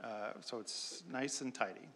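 A man in his thirties presenting an item to a city council in a relaxed, plain way, with many fillers, at a desk microphone in a large chamber. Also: medium pitch, measured pace, vocal fry, slight background noise. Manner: relaxed, filler-heavy explaining. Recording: long reverb of a council chamber.

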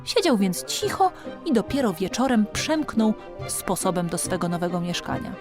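A woman's voice: mid-range voice, sing-song, normal speed, music in the background. A woman reading a children's storybook as an audiobook narrator, expressively and with dramatic emphasis.

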